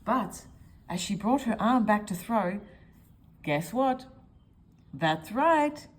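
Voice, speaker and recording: A woman's voice: mid-range voice, animated, slow pace. A woman reading a children's picture book aloud, expressively, as if speaking directly to young listeners. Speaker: storyteller.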